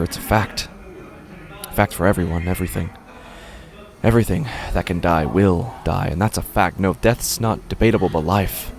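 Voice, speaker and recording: An actor playing an anxious, cynical, philosophizing man in a horror audio drama, with a brooding, halting delivery full of questions and pauses. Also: low-pitched, gravelly. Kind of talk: first-person dramatic monologue, horror audio fiction.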